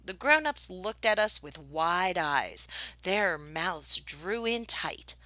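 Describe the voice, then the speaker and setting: Woman, storybook narration, lively swooping pitch, bright voice, close dry sound, expressive teacherly phrasing. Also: dry recording. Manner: animated, deliberate phrasing for young listeners. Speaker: teacher reading a picture book aloud.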